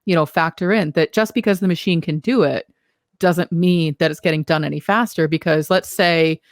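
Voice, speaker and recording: Low-voiced woman co-hosting a podcast. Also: normal speed, no echo, studio quality. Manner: practical, questioning, uses filler "you know". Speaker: podcast co-host. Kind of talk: casual conversational podcast chat.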